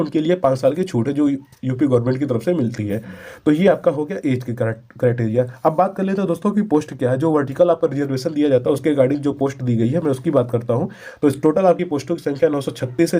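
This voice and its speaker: North indian guy doing info updates, talks really fast, soft mellow tone, explains point by point. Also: mid-range voice. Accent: North Indian Hindi. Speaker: host of exam updates channel. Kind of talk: explanatory informational narration.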